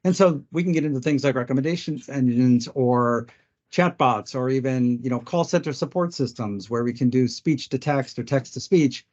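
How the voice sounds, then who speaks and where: A man giving an explanatory business presentation as a technology expert, speaking in a measured way with short pauses. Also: mid-range voice, a little mellow. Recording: dull, band-limited webinar audio.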